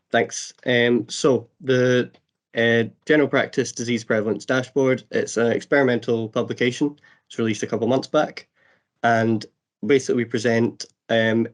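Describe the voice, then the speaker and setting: A man with a cold presenting on a webinar video call, casual and hesitant, with many fillers. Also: lowish voice. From Scotland. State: has a cold.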